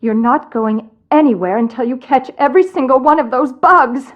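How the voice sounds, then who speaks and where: An actress playing a high-strung, irritable, squeamish woman boss in a video game, commanding and exasperated. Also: moderate pace, lightly acted. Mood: bossy, anxious.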